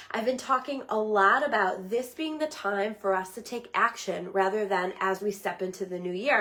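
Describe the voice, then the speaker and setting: Slightly hoarse woman chatting earnestly. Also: medium pitch. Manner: earnest, rambling, with many restarts and "right?". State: slightly hoarse, losing her voice. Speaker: psychic medium giving a weekly reading. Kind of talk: casual livestream talk.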